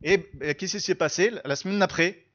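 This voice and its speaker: A man with a noticeably high voice delivers a church sermon. He is earnest and emphatic, moving between storytelling and teaching and repeating phrases to press his point. The recording sounds a little dull and lo-fi. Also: normal speed, fairly bright tone. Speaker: preacher.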